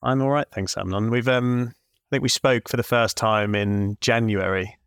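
A man's voice: mid-range voice, fairly even intonation, normal speed, fairly bright tone, smooth, no echo, clean studio sound. A man hosting a podcast interview, asking friendly, rambling questions with many fillers. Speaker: podcast host.

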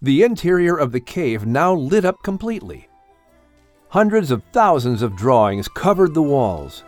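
A man narrating an audiobook in a measured, expressive storytelling manner. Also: fairly high pitch, dry recording, soft background music. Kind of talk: scripted narration.

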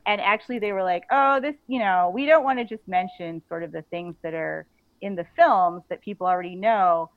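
A woman being interviewed on a casual podcast, telling stories in an animated way and acting out bits of dialogue. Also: fairly low pitch, fairly quick, no room sound, narrow, tinny audio. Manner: animated storytelling, quotes imagined dialogue, giggly. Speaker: author, interview guest.

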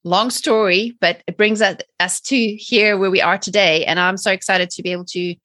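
A woman in her forties with a South African accent, chatting lively as an interview guest. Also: mid-range voice, normal speed. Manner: lively, chatty, laughs often. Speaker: guest, mindset trainer. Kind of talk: casual interview chat.

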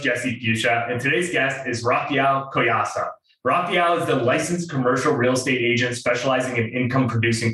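A man's voice: mid-range voice, pushed and bright, reverberant, recorded from a distance, poor audio. A man hosting an interview podcast with an enthusiastic, emphatic delivery, speaking in a casual, upbeat style. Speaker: podcast host. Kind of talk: podcast intro and interview chat.

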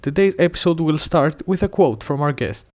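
A man reads a scripted promotional intro as the show's host, upbeat and polished. His voice sits a little higher than most men's. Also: lively intonation, moderate pace, a little mellow. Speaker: show host. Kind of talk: scripted ad read.

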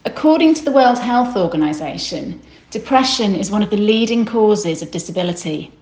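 A woman, a senior consultant, presenting a publication in a steady, formal, scripted way. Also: medium pitch, moderate pace. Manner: steady, formal read-out delivery. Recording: roomy echo.

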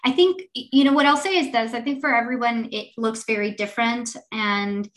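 Woman, thirties, podcast guest, higher voice, chatty, animated. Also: brisk. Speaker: venture fund partner, guest. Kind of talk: conversational interview answers.

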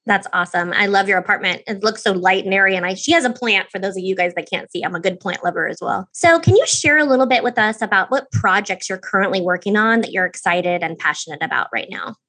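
A woman's voice: medium pitch, lively intonation, quick pace, few pauses, bright, forceful tone, low-quality recording. A woman hosting a podcast, upbeat and enthusiastic as she introduces and questions a guest. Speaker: podcast host.

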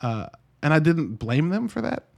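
A man's voice: fairly high pitch, very animated, moderate pace, vocal fry, darkish tone. A man giving a casual solo movie review in a conversational, wry way, breaking into his own thoughts with dry asides. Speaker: podcast host and movie reviewer.

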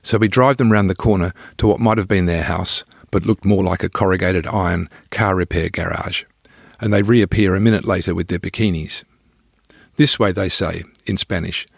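A man narrates his own adventures as a scripted memoir. His voice is low with a gravelly crackle, recorded close and dry. The delivery is wry, colloquial and understated. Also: no room sound. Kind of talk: scripted first-person storytelling.

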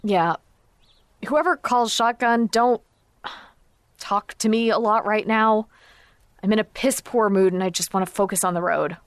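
An actress playing a combative, sharp-tongued activist in an audio drama, with a blunt and curt manner. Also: female voice, mid-range voice, measured pace, pauses often, no room sound, clean studio sound, natural voice. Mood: irritable. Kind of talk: naturalistic audio drama acting.

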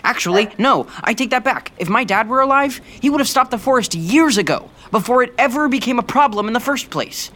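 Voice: mid-range voice, lively intonation, a little fast, few pauses, sharp tone. An actor playing an earnest, frustrated teenage prince in an audio drama, youthful and a little petulant. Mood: sulky, wistful.

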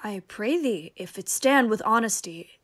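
Woman doing confident disguised youth, shakespeare radio play, slow medium voice, polite but bossy. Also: mid-range voice, expressive, a bit bright. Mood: courteous, pleading. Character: young noblewoman disguised as a confident gentle youth.

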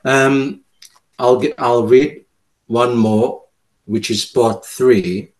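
A man from Cape Town with a South African accent, a poet speaking casually in an interview and reading his own work, halting and hesitant with many fillers. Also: mid-range voice, fairly even intonation, rough recording. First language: Afrikaans. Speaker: poet, guest.

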